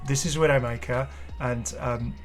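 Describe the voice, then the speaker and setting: Male gaming creator, mid-pitched voice, casual commentary, effusive, rambling, music playing behind. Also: steady tone, moderate pace. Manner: enthusiastic, effusive. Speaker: gaming video creator and host. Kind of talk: casual game showcase commentary. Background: clear background music.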